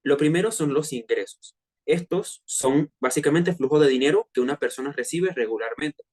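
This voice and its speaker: A man in his twenties with a Venezuelan accent, presenting a personal finance workshop to peers in a relaxed, chatty way with frequent hesitations. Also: on the high side, moderate pace. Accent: Venezuelan Spanish. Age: 20s. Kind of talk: casual online presentation to peers.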